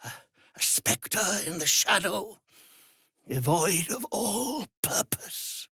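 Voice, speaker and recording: An actor playing a cruel, imperious vampire master in a video game, theatrical, contemptuous and drawn-out, with a raspy, strained voice. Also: male voice, sits high, lively intonation, sharp tone, no room sound, studio quality, heavily acted. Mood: scornful, agitated. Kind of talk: dramatic video game acting.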